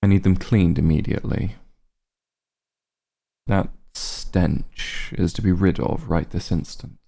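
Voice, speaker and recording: Man voicing a deep, slow, haughty vampire lord. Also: creaky, warm tone, breathy edge, exaggerated voice. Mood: disdainful, irritated. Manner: imperious, disdainful, measured and commanding. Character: haughty centuries-old male vampire lord, cold, possessive. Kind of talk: ASMR roleplay, scripted dramatic monologue.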